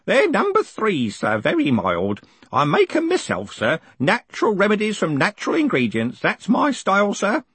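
A man's voice: sits high, huge pitch swings, moderate pace, hardly any pauses, fairly bright tone, slightly rough, dry recording, pitched above the performer's own voice, exaggerated voice. A man voicing a fussy, deferential old postal worker in an audiobook, earnest and insistent. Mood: wheezy, eager. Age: older. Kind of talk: audiobook character acting.

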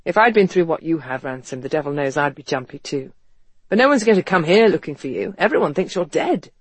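Man voicing a wry, high-pitched English gentleman. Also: lively intonation, a little fast, breathy edge, no echo. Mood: serious, sceptical. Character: wry upper-class Englishman, an impassioned period-novel gentleman. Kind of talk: audiobook character dialogue.

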